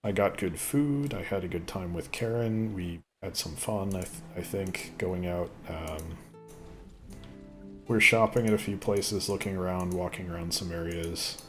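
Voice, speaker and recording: A man rambling casually while commentating on a gameplay video, with a voice he says sounds deeper since an illness. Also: a little slow, fairly bright tone, soft background music. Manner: rambling, chatty, thinks aloud. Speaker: gaming video host. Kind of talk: casual let's play commentary.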